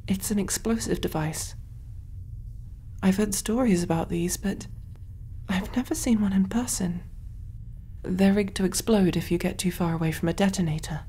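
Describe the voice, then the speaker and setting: A woman playing a kind, motherly alien surgeon in a soft-spoken ASMR roleplay, gentle and reassuring, breathy and often whispery, musing aloud as she works. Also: medium pitch, normal speed, pauses often, a little hiss or hum, lightly acted. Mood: concerned, puzzled.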